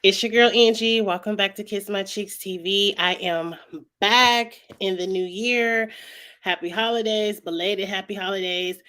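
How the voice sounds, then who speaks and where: A young woman hosting a casual reality TV recap, her voice a little raspy from a cold, chatting loosely and often clearing her throat. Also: medium pitch, steady tone, a little slow, bright, forceful tone. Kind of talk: casual vlog chat.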